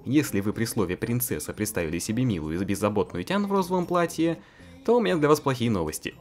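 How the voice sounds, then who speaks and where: A man's voice: medium pitch, sing-song, fast, faint music behind. A man narrating a fan-voiced visual novel in a joking, mocking way, full of crude slang and frequent laughter.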